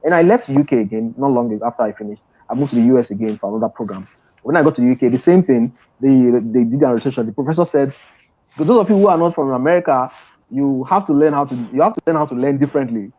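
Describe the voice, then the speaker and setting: A man's voice: medium pitch, expressive, fast talker. Emphatic man on a dull call. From Nigeria. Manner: emphatic, insistent, many restarts. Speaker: guest trader and mentor. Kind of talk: video call interview. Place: indoor room, seated in an office chair. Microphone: earphone headset on a video call. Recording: dull lo-fi video call audio.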